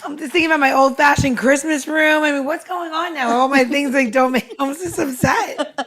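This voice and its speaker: High-voiced, mock-dramatic woman. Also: brisk, fairly bright tone. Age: 40s. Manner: mock-dramatic, blunt reactions. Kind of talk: casual chat.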